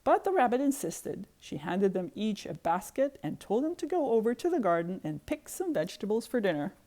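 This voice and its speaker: A woman reading a children's book aloud in expressive narration, stressing repeated words rhythmically. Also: medium pitch, fairly quick.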